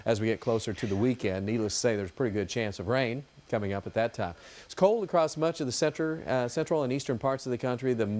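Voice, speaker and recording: A man's voice: on the low side, darkish tone. A man giving an ad-libbed TV weather forecast in a chatty, folksy, informal way.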